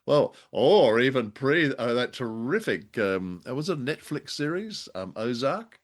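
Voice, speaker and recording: A man's voice: medium pitch, very animated, unhurried. A man co-hosting a podcast in casual review chat, with a wry, jokey way of talking. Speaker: podcast co-host.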